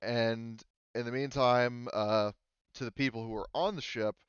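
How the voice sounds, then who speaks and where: A man working as game master, casually narrating a tabletop game in halting, improvised phrases. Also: mid-range voice, fairly even intonation, clean tone, no echo, muffled recording.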